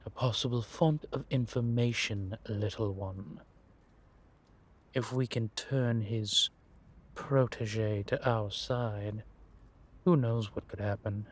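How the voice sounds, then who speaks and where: A man acts out a scheming male vampire spy in an audio roleplay. His voice is fairly low and breathy-rough, and he takes his time over every phrase. He muses theatrically, with a sly, predatory playfulness, as if confiding in a companion. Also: fairly even intonation. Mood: calculating, intrigued. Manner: theatrical, savoring, drawn-out musing with pauses. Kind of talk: scripted audio roleplay monologue addressed to a companion.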